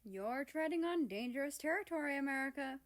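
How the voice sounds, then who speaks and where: A woman's voice: sits high, expressive, fairly quick, voice pushed up. A woman voicing a smug female feathered guardian in a storybook, teasing others in a gleeful, mocking manner. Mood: warning, smug.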